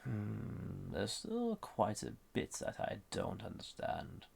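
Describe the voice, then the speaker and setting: A man playing a banished, dangerous villain in a roleplay audio drama, flirty and condescending, murmuring smugly in a husky, gravelly voice. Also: on the low side, huge pitch swings, slow pace, a little mellow, exaggerated voice. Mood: charmed, condescending.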